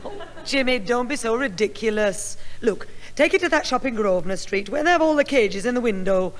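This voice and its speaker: A woman's voice: on the high side, expressive, a little fast, lightly acted. A woman playing a kindly but firm middle-aged mother, fussing and scolding in 1960s radio comedy style. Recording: old reverberant radio recording, faint hiss.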